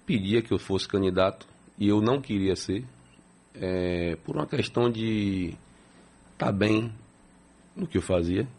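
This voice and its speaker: Low-voiced man in his fifties. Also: a little slow, vocal fry, pauses often, darkish tone. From Salvador, Brazil. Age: 50s. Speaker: city council president, interview guest. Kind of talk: earnest interview answers.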